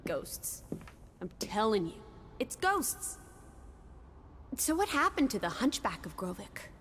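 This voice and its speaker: A woman's voice: on the high side, big pitch swings, takes it slowly, a bit bright, long reverb tail, slight background noise, full character voice. A woman playing a sociable, teasing young companion in a 1920s video game drama, full of playful old-fashioned slang.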